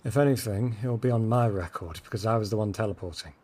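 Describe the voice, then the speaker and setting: A man's voice: fairly low pitch, fast talker, darkish tone, natural voice. An actor playing a cautious, helpful young man in an audio drama, explaining things earnestly and easily flustered. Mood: earnest, urgent.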